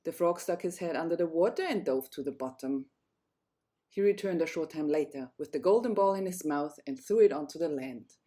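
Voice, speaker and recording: A woman's voice: deep voice, fairly quick, dark tone. A woman storyteller narrating a fairy tale, reading it aloud.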